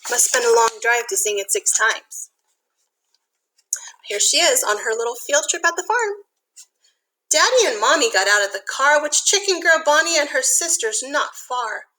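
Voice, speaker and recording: A woman reading a children's picture book aloud in an animated, sing-song way, with chatty asides to young listeners like an elementary school teacher. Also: sits high, normal speed, pauses often, a little hiss or hum, rough recording.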